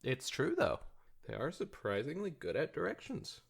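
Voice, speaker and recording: A man's voice: fairly high pitch, huge pitch swings, moderate pace, vocal fry, husky. A young man co-hosting a podcast with casual, joking, teasing banter. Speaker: podcast co-host.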